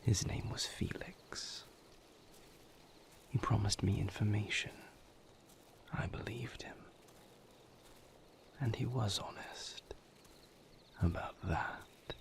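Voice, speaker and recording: A man playing a brooding vampire lover in an intimate audio roleplay, confiding his grim past in a halting, somber, breathy near-whisper. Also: low-pitched, heavily acted. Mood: grave, grieving.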